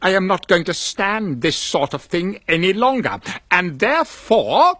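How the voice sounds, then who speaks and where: A man's voice: high-pitched, big pitch swings, a little slow, pushed and bright, breathy edge, dry recording, clean studio sound, pitched above the performer's own voice, full character voice. A man voicing an elderly male miser turned kindly, jovial, teasing and sometimes stammering.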